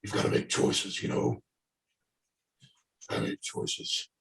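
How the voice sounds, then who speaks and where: A man playing a lonely, bitter, world-worn father in a stage play monologue, halting and brooding. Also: fairly bright tone. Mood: bitter, resigned. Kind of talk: play table reading, monologue. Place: indoors, echoey room, remote video call. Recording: roomy echo on video call.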